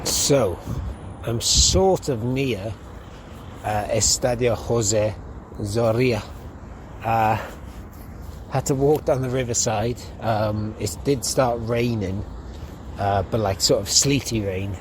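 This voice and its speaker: A man's voice: fairly low pitch, takes it slowly, long pauses. A young man, a podcast host, chatting casually in a rambling way with lots of ums while walking outdoors through a city. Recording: outdoor street noise. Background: street and traffic noise.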